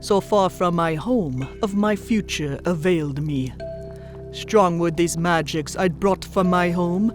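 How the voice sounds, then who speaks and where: Someone playing a slow, rhyming zebra shaman woman. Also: mid-range voice, over music, natural voice. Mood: storytelling, solemn. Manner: measured rhyming verse in steady meter. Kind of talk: dramatic fan fiction audio reading.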